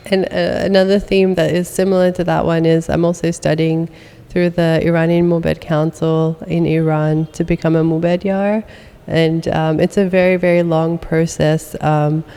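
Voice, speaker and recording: Chatty woman, mellow smooth voice. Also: sits low, big-room sound. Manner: chatty, frequent ums and uhs. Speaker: guest. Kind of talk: casual interview conversation.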